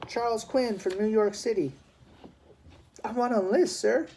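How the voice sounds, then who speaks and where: A man reads as a plucky city boy who has run away from home in a historical storybook. He sounds earnest, polite and anxious to please, with a mellow tone. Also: high voice, moderate pace, slight background noise, voice pushed up. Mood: eager, nervous.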